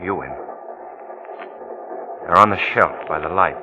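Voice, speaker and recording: An actor playing an ailing, suspicious husband in 1940s radio drama, curt, dry and bitterly sarcastic. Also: male voice, low voice, measured pace, lo-fi sound. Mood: dryly sarcastic. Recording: noisy vintage 1940s radio recording.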